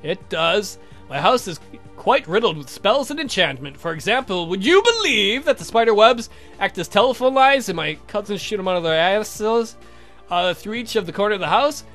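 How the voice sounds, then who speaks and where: A high-pitched gentleman vampire played by a man from a gothic game. He speaks in long, formal sentences, earnest and smitten, over clear background music. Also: very animated, moderate pace. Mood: earnest confessing. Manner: formal, verbose, confessing. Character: courtly lovestruck male vampire count, formal and earnest.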